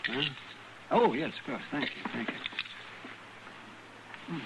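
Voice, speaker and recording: An actor playing a crotchety, absorbed older country doctor in a radio western, muttering and hemming with folksy exclamations. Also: male voice, medium pitch, sing-song, takes it slowly, a bit bright, full character voice. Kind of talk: old-time radio acting. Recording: noisy old radio recording.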